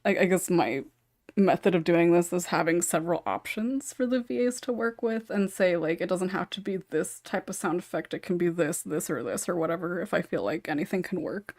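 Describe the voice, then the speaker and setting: A woman's voice: lowish voice, fairly quick, a little mellow. A woman chatting casually as a podcast panelist, informal and hedging as she explains her thoughts. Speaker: podcast panelist, script writer. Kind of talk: casual chat.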